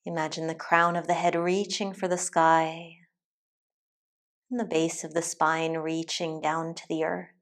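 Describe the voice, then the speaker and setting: A woman teacher leading a guided meditation in a calm, soothing, deliberate way with long pauses. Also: low-pitched. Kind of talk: guided meditation, soft instructional narration.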